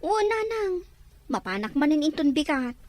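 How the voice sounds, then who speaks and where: An eager young Filipina daughter, played by a woman in an audio drama. Her voice sits high and swings widely, with a Filipino Ilocano accent and a dry sound. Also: sing-song, normal speed, a little mellow, no room sound. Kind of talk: Ilocano radio-style drama acting.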